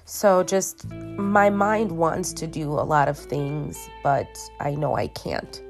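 Tired, congested woman with a low voice. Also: faint music behind. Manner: slow, halting, with many restarts and ums. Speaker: podcast host. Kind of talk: casual solo podcast monologue. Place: her bedroom at home.

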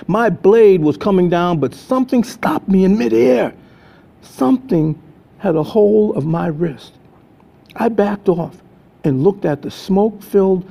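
A man's voice: high voice, creaky, warm tone. An older man reading an adventure story aloud as a storyteller, in dramatic scripted narration. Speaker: storyteller reading their own book. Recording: dull, lo-fi sound.

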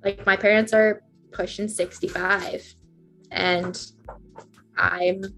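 A woman's voice: mid-range voice, little pitch movement, takes it slowly, gravelly, fairly bright tone, faint music behind, low-quality recording. A woman in her twenties, a podcast guest in casual chat, rambling and chatty with lots of "like" fillers. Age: 20s. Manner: chatty, rambling, heavy use of "like".

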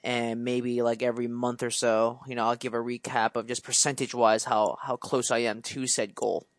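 A chatty man in his mid twenties co-hosting a podcast, rambling on with restarts and easy laughs. His voice sits at an ordinary middle pitch. The recording is dry and close, with no room sound. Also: flat delivery, moderate pace, dry recording. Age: 20s. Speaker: podcast co-host. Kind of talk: casual chat.

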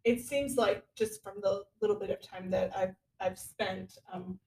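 Creaky-voiced woman podcast host, distant and echoey. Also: mid-range voice, fairly even intonation, a little slow, darkish tone, slight background noise. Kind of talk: conversational interview hosting. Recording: roomy echo, distant from mic.

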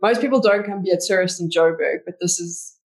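A woman's voice: fairly low pitch, fairly quick. A woman with a South African accent, a podcast guest telling stories in a chatty way with lots of fillers. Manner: chatty storyteller, many "like" fillers. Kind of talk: casual interview chat.

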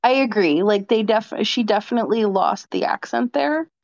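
A woman's voice: on the high side, animated, moderate pace, gravelly, dry recording. Chatty female co-host, casual filler-heavy delivery, muffled lo-fi mic. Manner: chatty, many likes. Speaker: podcast co-host. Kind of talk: casual chat.

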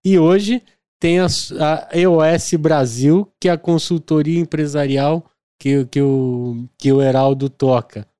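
A male podcast host with a fairly high, mellow voice. He talks eagerly and jokes. His words come through clearly on a dry, close studio mic. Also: steady tone, no room sound. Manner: enthusiastic, joking, quick asides. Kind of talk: casual podcast interview.

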